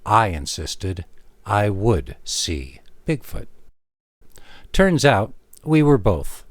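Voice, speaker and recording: Animated male horror story narrator, low-swinging pitch. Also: drawn-out words, dry recording, clean studio sound. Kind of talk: dramatic scripted first-person story narration.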